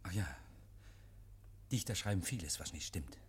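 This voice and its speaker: An actor playing a nervous, guilty man in a 1950s radio play, feigning jovial innocence, stammering and repeating himself, hushed then agitated. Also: sits low, expressive, moderate pace, breathy edge, exaggerated voice. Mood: forced joviality. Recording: vintage 1950s radio recording.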